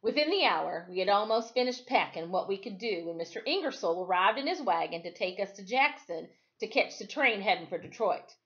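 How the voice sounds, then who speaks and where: A woman reading a story aloud expressively, with dramatic emphasis and pauses, like a teacher at storytime. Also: mid-range voice, expressive, fairly bright tone, highs cut off. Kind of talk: expressive read-aloud storytelling with brief asides to listeners.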